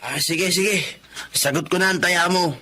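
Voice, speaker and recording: An actor playing an easygoing, persuasive gambling pal, casual and coaxing, in Filipino radio drama style. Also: male voice, sits high, steady tone, a little slow, sharp tone, a little hiss or hum. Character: easygoing, persuasive male drinking and gambling buddy.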